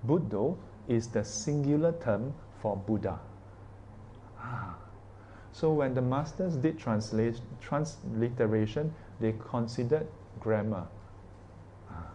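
Teacher, male, mellow, conversational, quizzes the room, slight noise under him. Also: medium pitch, slow pace. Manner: chatty, asks the class questions, frequent "yeah" and "ah". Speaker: Buddhist teacher. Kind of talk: informal dharma class talk.

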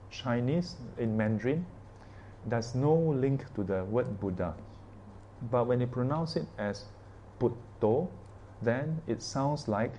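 A man's voice: mid-range voice, mellow, slight background noise. A man teaching an informal Buddhist class, chatty and asking his listeners questions, often tagging sentences with "yeah" and "ah". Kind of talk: informal dharma class talk.

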